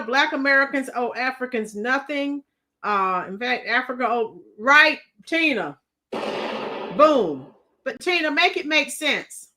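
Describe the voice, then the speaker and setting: A woman's voice: sits high, pushed and bright. A woman hosting a casual live reaction show, emphatic and exclamatory, talking straight to her viewers. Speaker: livestream host reacting to news and viewer comments.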